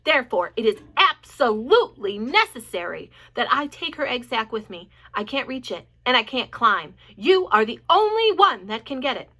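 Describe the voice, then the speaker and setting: A woman voicing a young male pig in a storybook reading, high and frantic as he pleads. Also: big pitch swings, normal speed, hardly any pauses, bright, forceful tone, exaggerated voice. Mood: pleading.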